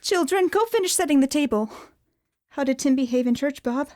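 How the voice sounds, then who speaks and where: A woman's voice: sits high, lively intonation, brisk, a little mellow. A woman playing a fussing, motherly housewife in a student radio drama. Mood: bustling. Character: warm, bustling working-class mother.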